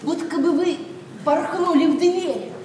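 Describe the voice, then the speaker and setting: Proud, impulsive young lady in love; very high voice, emotional verse delivery, roomy distant sound. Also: measured pace, dark tone. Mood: lovestruck, agitated. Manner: emotional, theatrical, openly confiding. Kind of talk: classic Russian stage verse, radio play acting. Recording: roomy distant radio play, faint noise.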